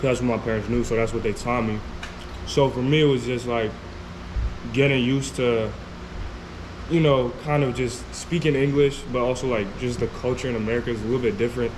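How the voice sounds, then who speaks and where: A man's voice: medium pitch, brisk, noisy background. Hesitant young man, Turkish native speaker. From Turkey. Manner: relaxed, hesitant, many "um" and "you know". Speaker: musician guest. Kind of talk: casual interview chat.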